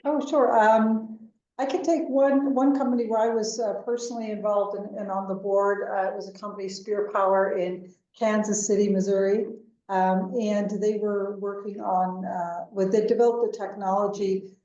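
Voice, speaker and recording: American businesswoman guest, fifties, mellow tone, distant and dull line, measured with fillers. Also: medium pitch, level tone. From United States. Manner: measured, with frequent ums and uhs. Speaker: venture capital executive, guest. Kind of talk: interview answers. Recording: distant, echoey, dull remote call audio.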